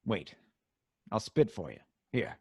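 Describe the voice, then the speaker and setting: An actor playing a nervous, grumbling accomplice in an old-time radio drama, stammering and complaining. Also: male voice, fairly low pitch, big pitch swings, slow pace, slightly rough, dry recording, clean studio sound, exaggerated voice. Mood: uneasy, sarcastic.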